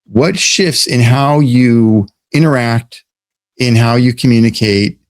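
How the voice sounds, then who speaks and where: A man's voice: medium pitch, slow pace, no echo. A man from the United States, a guest in an interview, explaining ideas thoughtfully and often restarting his sentences. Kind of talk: casual interview talk.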